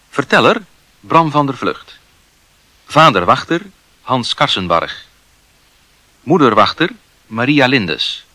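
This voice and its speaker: A male announcer with a bright, crisp-edged voice and lively intonation. He reads formally and deliberately, as if listing credits for a radio production, in a dry studio sound. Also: medium pitch, no echo. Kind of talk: formal scripted credits reading.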